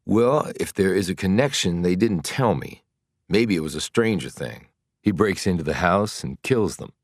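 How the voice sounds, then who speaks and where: A man's voice: low voice, expressive, normal speed, no room sound, voice pushed up. A bitter, angry convict who was once a football star , acted out by a man. He talks bluntly in slang, full of frustration at being wronged, as dramatic audiobook dialogue. The sound is dry. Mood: earnest.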